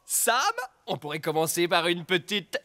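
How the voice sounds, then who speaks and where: Man doing a high, wily cartoon animal. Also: normal speed, fairly bright tone, close to an everyday voice. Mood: nervous bluffing. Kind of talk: French cartoon voice acting. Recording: music behind the voice.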